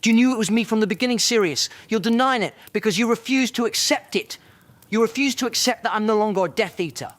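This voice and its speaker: A man's voice: high voice, fast, fairly bright tone, dry recording. A man playing a defensive, defiant reformed villain wizard in an audio drama, sarcastic and insistent. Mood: accusing. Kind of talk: fan audio drama acting.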